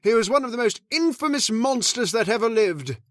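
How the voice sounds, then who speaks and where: A man playing a solemn caretaker who slowly tells a dark family legend in an old-time radio drama. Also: very high voice, animated, dry recording, clean studio sound. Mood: grave. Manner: slow, ominous, measured delivery. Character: grave, solemn male storyteller of the house. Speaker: Mister Roberts, old manor caretaker telling the legend.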